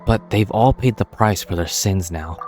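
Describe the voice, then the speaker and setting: Sarcastic gameplay commentator, man, lowish voice. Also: moderate pace, darkish tone, soft background music. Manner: wry, sarcastic asides. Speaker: game streamer. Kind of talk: casual commentary over gameplay.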